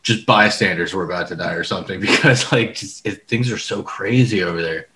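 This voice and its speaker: A man's voice: low voice, a little fast, bright, forceful tone. A man from Orlando co-hosting a casual chat, laughing often and complaining with exaggeration. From Orlando, Florida. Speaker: podcast co-host.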